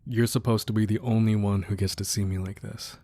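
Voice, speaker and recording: A low, mellow-voiced crime boss acted by a man, husky and intimate. He is a protective, devoted husband murmuring softly to his wife in a romantic ASMR roleplay. The tone is gruff at the edges but affectionate. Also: level tone, fairly quick, dry recording. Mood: playful, tender.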